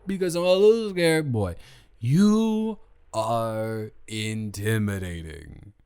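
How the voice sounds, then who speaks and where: A man's voice: lowish voice, expressive, slow pace, darkish tone, clean tone. A man playing a drunk young college roommate who rambles and stumbles sheepishly through a love confession, in slangy improvised audio drama. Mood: sheepish, earnest. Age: young adult. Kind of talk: improvised roleplay audio drama, one-sided conversation.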